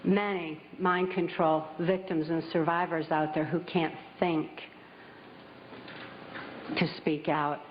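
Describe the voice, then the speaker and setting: A woman delivers an emphatic public speech in a deliberate manner, her slightly low voice trailing into a long hall reverb. The recording sounds dull, and faint music sits underneath. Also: level tone, slight background noise. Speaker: speaker giving testimony.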